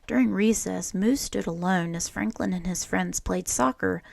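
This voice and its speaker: A woman reading a children's picture book aloud in an expressive, deliberate storytelling manner. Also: lowish voice, vocal fry, dry recording. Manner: animated, deliberate storytelling with acted character lines.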